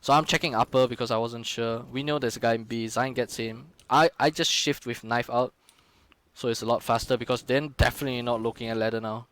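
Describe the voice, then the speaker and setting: A man's voice: medium pitch, fairly even intonation, fairly quick, a bit bright, no echo. A young man, casually talking through his own game footage on a live stream, loose and full of fillers and curses. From Singapore. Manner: casual, frequent swearing and fillers.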